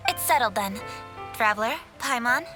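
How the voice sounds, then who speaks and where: A woman's voice: on the high side, animated, normal speed, over loud music, lightly acted. An actress playing a stern, duty-bound young woman official in a video game, firm and composed. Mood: determined.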